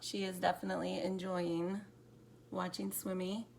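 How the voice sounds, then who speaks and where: A woman hosting a children's storytime, reading aloud expressively and deliberately, with casual asides. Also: on the low side, level tone, vocal fry, darkish tone. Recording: roomy, distant mic, faint background noise.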